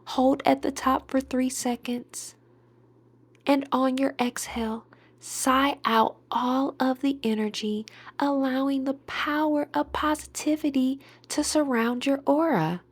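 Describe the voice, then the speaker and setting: A woman leading a guided meditation in a soothing, deliberate way, with breathy, whispery word endings. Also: high-pitched, fairly even intonation.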